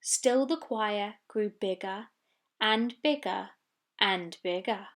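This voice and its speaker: A woman's voice: medium pitch, slow. A woman reading a children's storybook expressively, with dramatic delivery of sound words. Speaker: story reader.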